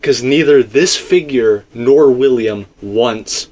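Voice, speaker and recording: A man's voice: medium pitch, slow, a little mellow. A man in a casual theory discussion, explaining his points emphatically and circling back to them. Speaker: video game theorist.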